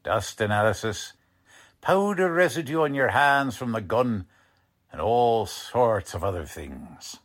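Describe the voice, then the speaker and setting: A man playing a gruff, blunt, streetwise police lieutenant in a 1940s radio crime drama, talking in tough-cop slang. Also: medium pitch, expressive, a little slow, fairly bright tone. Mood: stern, sardonic.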